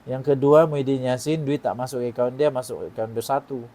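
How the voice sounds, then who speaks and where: A man's voice: mid-range voice, fairly even intonation, brisk, dark tone. A man in his forties with a Malaysian Malay accent, giving online political commentary and putting questions to his viewers. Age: 40s. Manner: emphatic, poses rhetorical questions to viewers.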